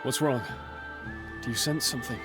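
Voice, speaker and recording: A man's voice: medium pitch, moderate pace, music in the background, lightly acted. A man playing a wary, wry warrior companion in a fantasy video game, plain-spoken and casual.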